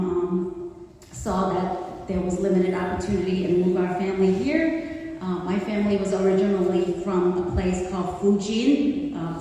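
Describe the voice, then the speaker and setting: A woman in her forties who grew up in Hong Kong and speaks Cantonese natively, giving a chatty personal talk at a community event, with many fillers. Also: mid-range voice. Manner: warm, conversational, with frequent fillers. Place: community center hall. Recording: echoey hall, distant mic, faint noise.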